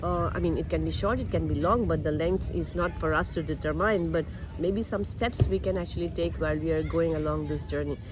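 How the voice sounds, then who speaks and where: A woman's voice: deep voice, fairly quick, few pauses, background noise. A woman hosting a podcast interview, speaking in long, encouraging, reflective turns. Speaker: podcast host.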